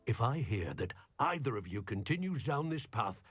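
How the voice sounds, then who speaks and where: An actor playing a stern older uncle who scolds harshly. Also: male voice, medium pitch, big pitch swings, moderate pace, slightly rough, no echo, soft background music.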